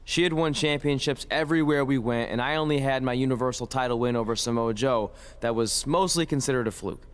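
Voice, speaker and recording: A man's voice: mid-range voice, brisk, clear, resonant tone, natural voice. A man playing a cocky yet insecure underdog wrestler in a video game, talking in a casual, wisecracking way over background noise. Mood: reflective. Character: cocky but insecure young male wrestler, the underdog hero.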